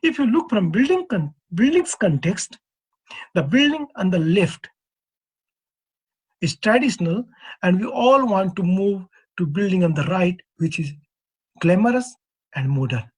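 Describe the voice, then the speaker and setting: Husky Nepali-accented man presenting. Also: high-pitched, expressive, a little mellow, rough recording. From East Nepal. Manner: measured, earnest, poses questions to the audience. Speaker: earthquake engineer presenting at a convention. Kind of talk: prepared conference presentation.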